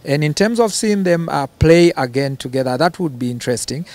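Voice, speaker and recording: A man's voice: on the high side, fairly quick, darkish tone. A man answering interview questions, often repeating words as he gathers his thoughts. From Zambia. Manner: hesitant, repeats words, chuckles between phrases.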